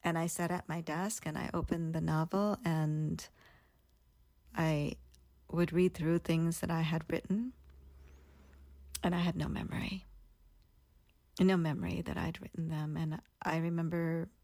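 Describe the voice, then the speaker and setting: A woman's voice: low-pitched, steady tone, measured pace, pauses often, darkish tone, dry recording. A woman in her thirties, earnestly and reflectively telling her own story as a guest in an interview. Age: 30s.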